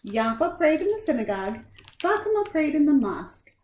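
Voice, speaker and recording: A woman reading children's stories aloud in an expressive, deliberate storytime style, acting out the words as she goes. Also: sits high. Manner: animated, deliberate, acts out lines and sound words. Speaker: storytime reader for a library. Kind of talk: expressive children's picture-book read-aloud. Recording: roomy echo, faint background noise.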